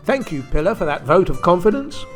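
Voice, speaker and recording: Casting: male storyteller, highish and lively pitch, wry theatrical delivery, light guitar music behind. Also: fairly quick. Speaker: narrator. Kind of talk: scripted comic narration, mock-grand.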